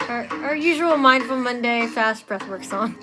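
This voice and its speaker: A woman's voice: fairly high pitch, moderate pace. A young woman with a Philadelphia accent, chattily and cheerfully explaining a breathwork exercise as a live meditation teacher. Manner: chatty, upbeat, laughs easily. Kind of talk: live guided breathwork instruction. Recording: music playing under the voice.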